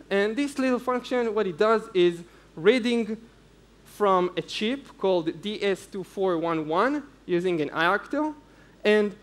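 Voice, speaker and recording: High-pitched young man presenting a tech talk. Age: young adult. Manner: informal, explaining step by step. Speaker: conference speaker, security researcher. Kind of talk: technical conference presentation. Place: indoor stage at a conference.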